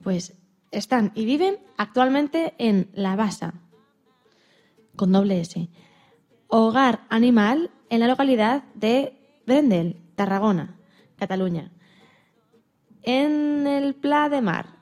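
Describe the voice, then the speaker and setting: A woman's voice: on the high side, animated, slow, long pauses, darkish tone. A woman presenting on radio, narrating in a storytelling style.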